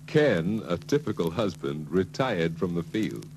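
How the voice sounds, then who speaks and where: A man's voice: low-pitched, sing-song, a little slow, full character voice. A man narrating a 1940s sales training film in a scripted storytelling style. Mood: brisk storytelling. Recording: noisy, humming 1940s film soundtrack.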